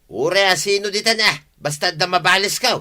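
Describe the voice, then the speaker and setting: A man's voice: high voice, moderate pace, pushed and bright. A man with an Ilocano accent playing a stubborn, vengeful village farmer who grumbles, in a radio comedy drama. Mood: defiant. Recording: music behind the voice.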